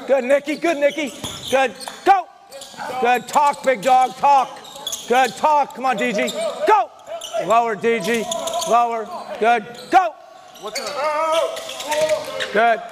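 A man coaching basketball in a very high, raised voice, giving short, commanding calls at an unhurried pace. He is running a drill in a noisy gym with balls bouncing behind him. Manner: loud, terse, commanding shouts. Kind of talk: barking drill commands at a team practice. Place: large indoor gymnasium, practice before onlookers. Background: bouncing basketballs and gym noise.

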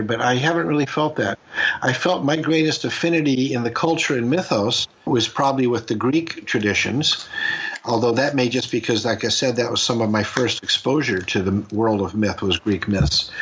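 A man's voice: mid-range voice, a bit bright, dull audio, a little hiss or hum. An older man from the United States answering interview questions in a reflective, rambling way, often restarting his sentences. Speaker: guest.

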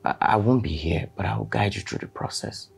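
An actor playing a calm therapist who explains a treatment plan. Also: male voice, on the low side, creaky, husky, heavily acted. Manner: measured, explanatory.

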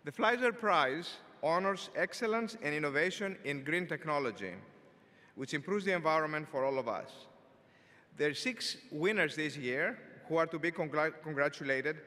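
An older man, a dean, giving a formal commencement address from a podium, speaking in a measured, deliberate way with the odd filler word. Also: fairly high pitch, expressive, bright, forceful tone. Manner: measured, deliberate delivery with occasional filler words. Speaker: engineering school dean. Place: large arena stage at a podium. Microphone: podium microphone. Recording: long reverb of a large arena.

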